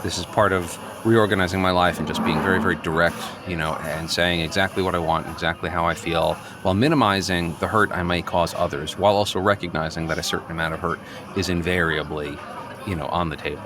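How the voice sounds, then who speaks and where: A man playing an earnest, neurotic, self-absorbed friend in an improvised radio comedy, halting and over-explaining. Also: low voice, steady tone, close to an everyday voice. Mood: blunt, apologetic. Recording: clearly noisy background ambience.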